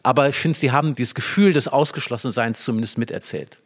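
Emphatic man explaining drama, mid-pitched. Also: fast. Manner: explanatory, emphatic, acts out short quotes. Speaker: literary discussion speaker. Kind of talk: animated analytical discussion of a play.

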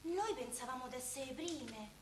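Shrill, excitable young Roman woman. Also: normal speed. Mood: excited storytelling. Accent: Roman Italian. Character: excitable Roman working-class daughter telling breathless tales. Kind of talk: Italian stage comedy-drama acting in dialect. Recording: echoey stage recording, faint noise.

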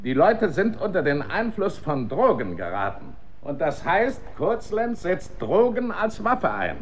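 A male director of a research works in a German radio drama. He addresses people formally and with authority, his intonation rising and falling widely in rhetorical, measured phrases. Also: high-pitched, wildly swooping pitch, a little hiss or hum, heavily acted. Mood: grave.

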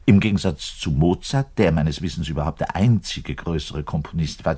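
An actor playing a self-important, frustrated orchestral double bass player in a radio monologue, pedantic, rambling and emphatic, with a husky voice. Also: male voice, mellow, full character voice. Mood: pedantic, earnest. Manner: pedantic, rambling, emphatic, shifting to muttered asides. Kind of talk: theatrical monologue addressed to a listener.

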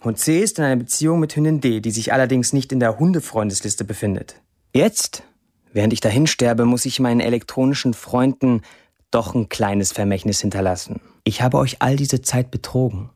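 An actor playing a young, disillusioned Syrian man who delivers a sardonic, deliberate confessional monologue in a radio drama. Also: medium pitch, a little mellow, close to an everyday voice. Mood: bitter, wry.

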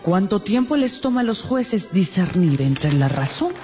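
A woman's voice: mid-range voice, very animated, a little slow. A dignified queen of radio drama, formal and probing. Her intonation moves widely over background music in a slightly dull recording. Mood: dignified curiosity. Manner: formal, probing, well-articulated. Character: inquisitive, dignified queen questioning a foreigner.

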